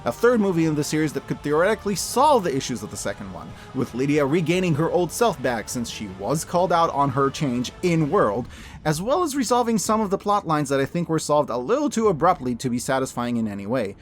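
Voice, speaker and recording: A man in his thirties with a high voice that moves widely in pitch. He delivers scripted film commentary as a video host, emphatic and sarcastic, with clear opinions. Music plays behind him. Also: big pitch swings, brisk. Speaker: video host reviewing films.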